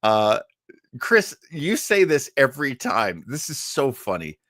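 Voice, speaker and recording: A man's voice: medium pitch, very animated, fairly bright tone, dry recording. Rambling, amused man hosting a livestream, dry sound. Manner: rambling, halting, amused, chuckles between asides. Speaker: livestream show host. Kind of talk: casual livestream chat, storytelling.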